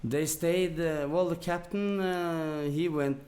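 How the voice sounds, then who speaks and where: A man from Norway with a Norwegian accent, telling a story casually and haltingly as a podcast guest. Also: on the high side, fairly even intonation, darkish tone. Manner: halting, hesitant delivery with long pauses.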